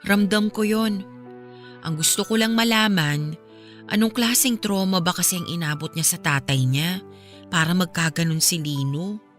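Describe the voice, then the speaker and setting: A woman's voice: fairly low pitch, normal speed, close to an everyday voice. An actress playing a caring young woman with a Filipino accent, earnest and reflective in radio drama dialogue. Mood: concerned, reflective. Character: thoughtful, caring young woman asking about troubled friend. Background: music under the voice.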